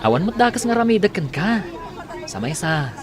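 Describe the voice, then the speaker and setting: A man's voice: sits high, big pitch swings, normal speed. Man playing young male suitor, persistent, coaxing, radio drama, busy crowd behind. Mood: eager, coaxing. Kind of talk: Ilocano radio drama acting.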